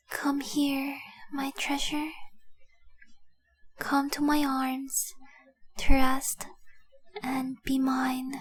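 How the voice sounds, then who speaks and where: A woman voicing a tender, possessive young dragon girl in love, speaking softly and breathily in an intimate roleplay audio drama. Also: high-pitched, dry recording, poor audio. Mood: adoring, coaxing. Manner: soft, breathy, lingering, adoring delivery.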